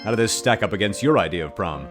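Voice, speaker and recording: A man's voice: lowish voice, animated, fast, over music, close to an everyday voice. A man playing a suave teenage prom boy in a comedic audio drama, with a dramatic storytelling manner. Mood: breezy, dramatic.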